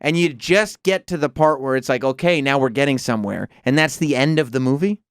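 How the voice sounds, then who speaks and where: A man with a smooth, resonant voice hosts a casual chat, close and dry. He asks teasing questions and laughs easily. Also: medium pitch, fairly quick, dry recording.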